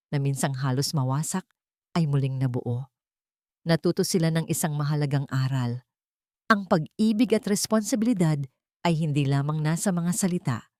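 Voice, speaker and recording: A woman's voice: low-pitched, mellow, dry recording, studio quality. A woman reading a scripted, sentimental drama story in an earnest storytelling manner. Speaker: story narrator.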